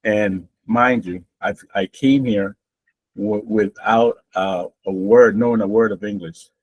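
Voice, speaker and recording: Man in his 60s, from Honduras, Garifuna first language; low husky voice, easygoing storyteller for an interview. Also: a little slow, dull audio. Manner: relaxed, rambling, many fillers and restarts. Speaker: guest. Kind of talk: interview, personal life story.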